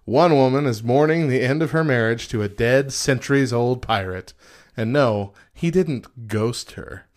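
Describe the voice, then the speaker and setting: A man's voice: medium pitch, unhurried. Man, early 30s, casual podcast co-host; light, joking delivery. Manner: joking, laughs often. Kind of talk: casual banter, reading headlines.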